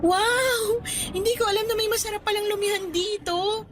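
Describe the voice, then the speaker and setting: High-voiced, polite young Filipina. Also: female voice, fairly even intonation, normal speed, a bit bright, faint music behind. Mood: bright, curious. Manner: polite, deferential to an elder. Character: cheerful, respectful young working woman, recent graduate. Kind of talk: Tagalog radio drama dialogue.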